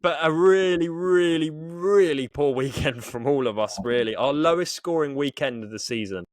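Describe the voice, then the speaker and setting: A man's voice: a little high, moderate pace, no echo, clean studio sound. A man co-hosting a sports podcast in a casual, chatty style. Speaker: podcast co-host.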